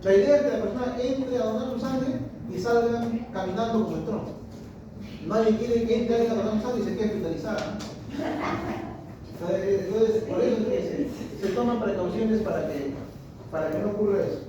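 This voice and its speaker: A man with a high voice teaches a histology class in a very echoey room, picked up from a distance. He repeats himself, restarts phrases and asks students questions, with steady room noise behind him. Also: moderate pace, muffled recording. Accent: Peruvian Spanish. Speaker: histology professor. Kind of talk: classroom lecture. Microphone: distant room mic. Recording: echoey classroom recorded from afar.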